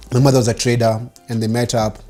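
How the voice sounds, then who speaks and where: A man in his forties with a Kenyan accent and Swahili as his first language, telling his life story conversationally as an interview guest. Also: medium pitch. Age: 40s.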